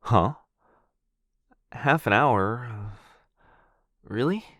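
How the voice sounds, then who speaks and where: A man playing a shy, sweet, earnest dog-person roommate in an intimate boyfriend ASMR roleplay, speaking softly and hesitantly. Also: mid-range voice, lively intonation, dry recording, heavily acted. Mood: flustered, defensive. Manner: soft, hesitant, halting, bashfully rambling.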